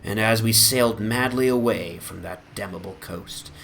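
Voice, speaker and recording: A man narrating literary fantasy as a dramatic audiobook reading, in a measured, solemn and theatrical manner. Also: lowish voice, creaky, fairly bright tone, slight background noise. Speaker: narrator, reader. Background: atmospheric music.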